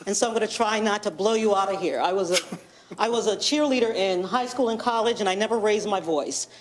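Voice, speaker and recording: A woman with a loud voice giving a lively, laughing presentation as a board member at a public meeting. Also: medium pitch, brisk, background noise. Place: township meeting room.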